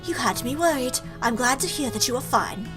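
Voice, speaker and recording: A woman voicing a caring, motherly goat monster from a visual novel, gentle, concerned and formal in her wording. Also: sits high, lively intonation, fairly quick, over music. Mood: worried, relieved. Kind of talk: visual novel dialogue read aloud.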